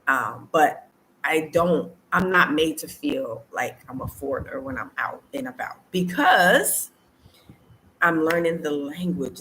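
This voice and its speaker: A low-pitched American woman talks casually to her online viewers. She is conversational and often repeats a phrase to stress it. A light layer of ambient noise sits behind her voice. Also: a bit bright, low-quality recording. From United States. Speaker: vlogger hosting a live stream.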